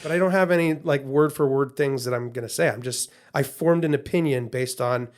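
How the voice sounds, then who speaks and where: A man co-hosting a podcast in loose, casual talk. He rambles, stumbles over his starts and repeats words as he works out his thought. His voice sits at an ordinary middle pitch. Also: fairly quick. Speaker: podcast co-host.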